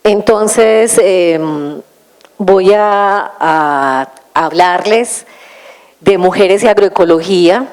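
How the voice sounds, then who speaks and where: Woman lecturer, mid-pitched voice, measured and explanatory, pauses and hesitates a lot. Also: a little mellow, clean tone. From Colombia. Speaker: invited lecturer. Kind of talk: conference lecture.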